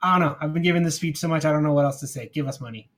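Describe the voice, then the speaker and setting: A man's voice: fairly high pitch, racing. A man co-hosting a podcast in a casual, conversational style. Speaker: podcast co-host. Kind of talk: casual chat.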